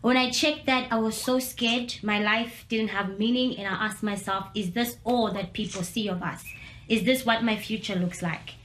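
A woman's voice: medium pitch, fairly even intonation, normal speed, fairly bright tone, a little hiss or hum. Earnest young woman in an echoey room. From Zambia. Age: young adult. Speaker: interviewee. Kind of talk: personal testimony.

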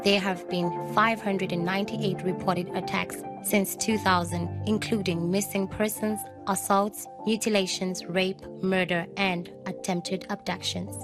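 A woman from Zambia giving calm, informative documentary narration. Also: medium pitch. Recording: voice-over with clear music behind.

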